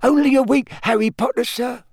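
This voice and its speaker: Little house elf for an audiobook, super high squeaky shrill voice, eager n servile, devoted. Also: male voice, steady tone, a little fast, vocal fry, no room sound, clean studio sound, heavily acted. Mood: delighted, eager. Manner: servile, speaks of himself in third person.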